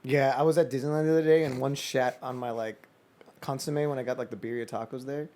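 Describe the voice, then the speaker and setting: A man's voice: medium pitch, fairly even intonation, quick pace, darkish tone. A man in casual, joking conversation as a podcast panelist.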